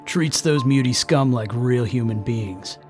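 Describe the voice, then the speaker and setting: A man's voice: medium pitch, measured pace, a little mellow, soft background music, lightly acted. A man playing a hateful, trigger-happy zealot henchman in an audio drama, clipped and contemptuous. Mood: hateful, eager.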